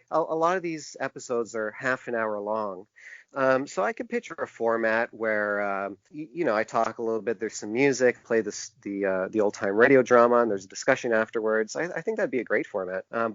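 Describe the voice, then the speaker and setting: A man with a mid-range voice talks casually as an interview guest. He is thoughtful and halting, restarting phrases as he works out his ideas. The sound is close and dry, slightly dull in fidelity. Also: mid-range voice, a bit bright, no room sound. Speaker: podcast guest, author and publisher.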